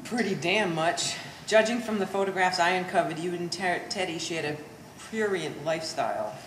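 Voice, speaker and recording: Woman acting a sanctimonious daughter, lowish, echoey. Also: expressive, moderate pace, natural voice. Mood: prim, insinuating. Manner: sardonic, pompous wording. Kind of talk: one-act stage play performance. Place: gallery stage performance, indoors. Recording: echoey hall with faint noise.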